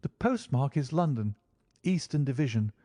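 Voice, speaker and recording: A man voicing an anxious, pleading young gentleman. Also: lively intonation, normal speed, dry recording, studio quality, close to an everyday voice. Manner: earnest, pleading, raised pitch. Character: frightened young Englishman, anxious and helpless. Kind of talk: audiobook character reading.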